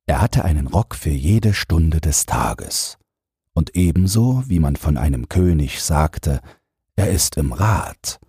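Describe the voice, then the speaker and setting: Male audiobook narrator for bedtime fairy tales: very low, gravelly, unhurried, with expressive intonation. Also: dry recording, studio quality. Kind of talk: scripted fairy-tale narration, bedtime storytelling.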